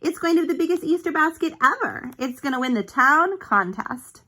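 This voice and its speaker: A woman plays a young boy mouse from a storybook, boastful and eager. The voice is bright and lifted, and he declares his big plans proudly. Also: high-pitched, expressive, fairly quick, pitched above the performer's own voice. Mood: proud, excited.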